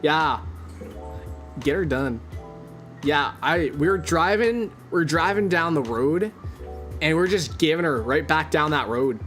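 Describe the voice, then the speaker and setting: A man's voice: fairly high pitch, expressive, slow pace, long pauses, fairly bright tone, faint music behind. A man putting on a Canadian accent as a rowdy, swearing truck-driving guy with a crude, boisterous drawl.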